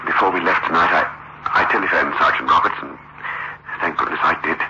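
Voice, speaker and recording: A man's voice: low-pitched, fairly even intonation, brisk, heavy background noise, lightly acted. Actor playing an anxious protective man, emotional, urgent, 1960s radio drama, dull recording. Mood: anxious relief. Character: anxious, relieved man rescuing a woman he cares for. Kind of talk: 1960s radio suspense acting.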